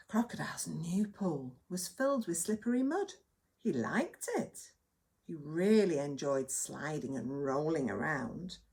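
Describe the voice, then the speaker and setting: A woman reading a children's story aloud as a nursery teacher, with dramatic emphasis and hushed moments. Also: low voice, sing-song, slow pace, gravelly.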